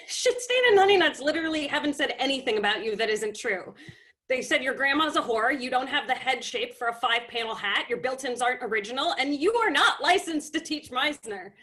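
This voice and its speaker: An actress playing a frazzled, defensive, snarky young publicist in a comedy table read over a video call. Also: female voice, high-pitched, lively intonation, brisk, fairly bright tone.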